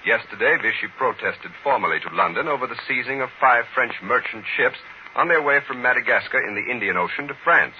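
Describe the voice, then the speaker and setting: A muffled old radio recording with light noise carries an American man's voice. He reads the news formally in a measured 1940s broadcast style, at a medium pitch. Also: expressive.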